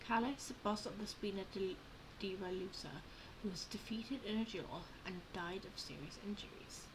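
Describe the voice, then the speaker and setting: A woman with a medium-pitched voice plays a game and comments on it casually. She is informal and halting when she reads aloud. There is clear noise in the background. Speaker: gamer, channel host.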